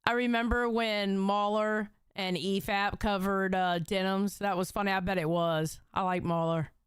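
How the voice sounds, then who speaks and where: A woman's voice: mid-range voice, steady tone, moderate pace, smooth, no echo, clean studio sound. A young adult woman streamer giving blunt, heated commentary on clips and reading out chat comments. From Puerto Rico. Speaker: reaction streamer. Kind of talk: casual commentary reacting to clips.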